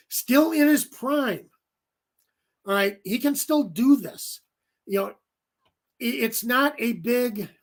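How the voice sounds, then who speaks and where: Slow-talking raspy male sports show host. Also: high voice, fairly bright tone. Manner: rambling, many fillers and self-corrections. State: voice getting raspy. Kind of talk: casual sports commentary.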